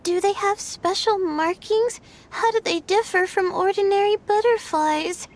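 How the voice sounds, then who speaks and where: A woman's voice: very high voice, unhurried. A woman playing an anxious, hesitant young female student in a video game. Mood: worried. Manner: hesitant, fretful. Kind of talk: video game character acting.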